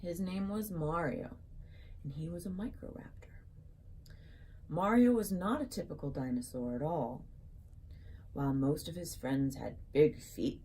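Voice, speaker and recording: Low, mellow-voiced woman reading to children. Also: a little hiss or hum, low-quality recording. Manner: animated storybook delivery, addressing young listeners. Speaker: children's author reading her book aloud. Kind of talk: children's story reading with casual asides.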